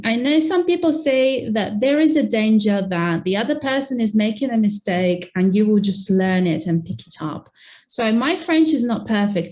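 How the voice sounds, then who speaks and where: A woman on a video call gives relaxed, encouraging tips to learners in an online webinar. She talks in a loose, chatty way and often drops in "you know." Her voice sits at a middle pitch. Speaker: guest teacher in a webinar. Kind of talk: conversational webinar advice.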